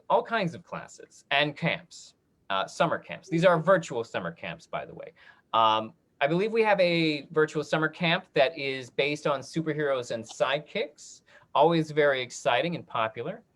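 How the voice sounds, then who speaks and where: Talkback host, very animated pitch, medium register, upbeat and encouraging, casual, video call.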